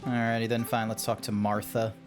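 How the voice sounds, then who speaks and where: Jokey streamer talking over game music. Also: male voice, fairly low pitch, level tone, fairly quick. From Australia. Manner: jokey, chatty, teasing. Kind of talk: playful gameplay commentary.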